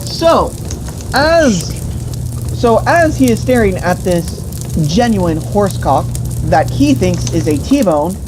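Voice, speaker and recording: A woman's voice: medium pitch, slow pace. A woman retelling a tabletop game as game master in a theatrical, mock-grandiose, crude comedic way. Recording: noisy room with steady background hiss.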